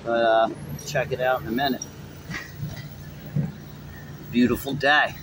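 Laid-back welder talking over site noise. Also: male voice, mid-range voice, flat delivery, a little slow. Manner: laid-back, terse running commentary. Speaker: welder filming his work. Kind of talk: casual on-the-job narration. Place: outdoors on a construction site, partly inside a steel tube.

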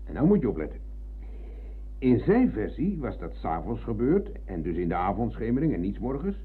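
An actor playing a forgetful old war veteran in a radio play, husky and halting as he rambles. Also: lowish voice, big pitch swings. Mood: insistent, storytelling. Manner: hesitant, halting, peppering talk with tag questions. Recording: thin, phone-like old radio recording.

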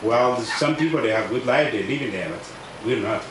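South Sudanese man in his thirties, halting non-native English, outdoor tent mic, echoey and distant with background noise; personal testimony. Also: mid-range voice, a bit bright. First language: a South Sudanese language. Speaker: refugee speaker. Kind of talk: personal testimony at a public event. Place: outdoors under a tent canopy at a camp. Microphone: table microphone on stand.

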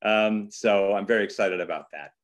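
A man's voice: fairly low pitch, moderate pace. A man hosting an informal live presentation on a video call as a theater director, speaking with effusive enthusiasm. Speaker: theater artistic director, host.

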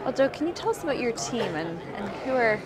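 A woman's voice: fairly high pitch, very animated, fairly quick. A woman in her thirties asking questions as an interviewer in a business interview. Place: indoor booth at a trade conference. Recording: busy event hall chatter behind.

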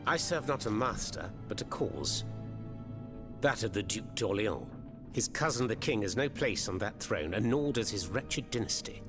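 An actor playing a mocking, zealous revolutionary in a video game, speaking in a flowery, sardonic manner. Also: male voice, fairly low pitch, animated, moderate pace, close to an everyday voice. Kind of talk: video game cutscene acting. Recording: game music behind the voice.

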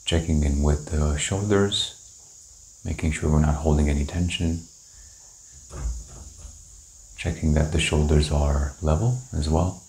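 A man leading a guided meditation, giving calm, gentle, unhurried instructions with long pauses. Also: extremely low pitch. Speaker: meditation teacher. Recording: roomy, echoing indoor sound.

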